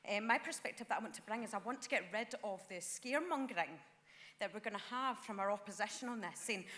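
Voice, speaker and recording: A woman's voice: mid-range voice, expressive, quick pace, sharp tone. A woman councillor giving an earnest conference floor speech at a lectern, with frequent ums. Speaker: councillor speaking at a party conference. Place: large conference hall. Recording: long hall reverb.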